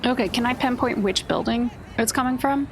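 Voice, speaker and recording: Woman player reasoning, noisy recording. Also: on the high side. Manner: measured, reasoning in hedged phrases. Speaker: tabletop game player. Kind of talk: casual play talk. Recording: noisy background hiss.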